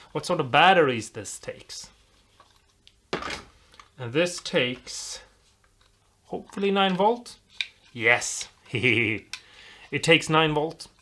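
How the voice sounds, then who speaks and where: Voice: mid-range voice, a bit bright, a little hiss or hum. A host from Europe talking viewers through a build in a casual, rambling, encouraging way. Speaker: host of a DIY guitar pedal video.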